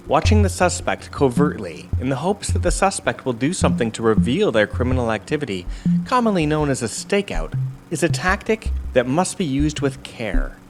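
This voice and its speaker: A male actor as a formal audio drama narrator, explaining in a scripted, deliberate register. His mid-pitched voice moves widely up and down, with music underneath. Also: close to an everyday voice.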